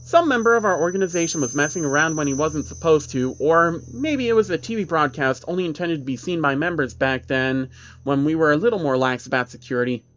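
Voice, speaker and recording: A man's voice: on the high side, animated, fairly quick, a bit bright. A man voicing a guarded, evasive but firm woman from a secretive group in a narrated horror story. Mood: defensive, evasive.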